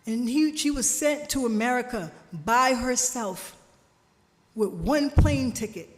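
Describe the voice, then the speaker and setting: A woman preaching a sermon at a church service, slow and emphatic, drawing responses from the congregation, her family from Jamaica. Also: a little high, animated. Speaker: guest speaker at a church service. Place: church sanctuary. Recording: church hall reverb.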